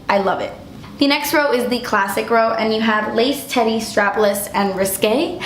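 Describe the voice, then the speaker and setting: A woman's voice: medium pitch, roomy sound, slight background noise. A young woman reviewing makeup in a chatty, gushing way, losing her voice as she gets sick. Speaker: beauty vlogger. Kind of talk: casual product review.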